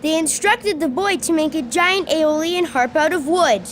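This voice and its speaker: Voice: high voice, moderate pace, slight background noise. A child of about nine or ten reading scripted storytelling narration in a school radio drama.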